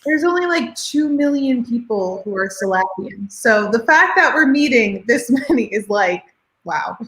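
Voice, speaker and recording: Higher-voiced woman in an echoey room. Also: expressive, measured pace, fairly bright tone. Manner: rambling and thinking aloud, lots of fillers. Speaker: co-host of writing sprints. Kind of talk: casual chat on a video call.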